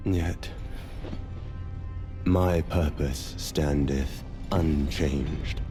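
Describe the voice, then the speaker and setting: An actor playing a solemn, menacing demigod villain in a fantasy game, speaking in grave, archaic phrases. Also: male voice, very deep voice, fairly even intonation, extremely slow, exaggerated voice. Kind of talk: fantasy game cutscene acting. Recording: game audio under loud music. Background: music.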